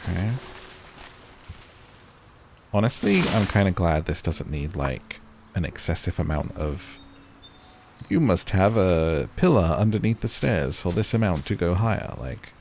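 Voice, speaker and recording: A man's voice: low-pitched, very animated, a little slow, pauses often, darkish tone, a little hiss or hum. A man doing casual gaming commentary, chatting and musing to himself and to viewers as he plays and reads out on-screen text. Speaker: gaming video host.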